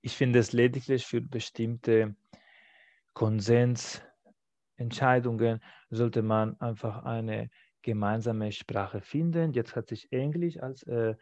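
A man fields questions after a talk, speaking in a measured, hesitant way as he thinks aloud. His voice sits in a middle range. The recording is dry and a little dull. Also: mid-range voice, fairly even intonation, darkish tone, dry recording. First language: Catalan. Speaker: presenter answering questions. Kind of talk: academic talk and Q&A.

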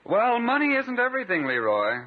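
A smug boastful con man, husky, lots of swing in his voice, old radio comedy. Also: very high-pitched, huge pitch swings, brisk, heavily acted. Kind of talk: 1950s radio comedy acting. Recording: tinny old radio broadcast.

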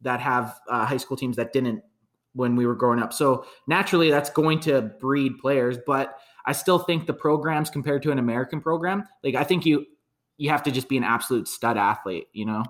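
A man's voice: medium pitch, brisk. A man from Canada chatting casually about football, frank and rambling, swearing freely. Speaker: podcast co-host. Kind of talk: casual sports chat.

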